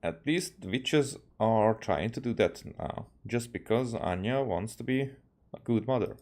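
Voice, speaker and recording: A man's voice: mid-range voice, expressive, measured pace, darkish tone. A man giving casual game commentary as a streamer, hesitant and searching for his words as he explains.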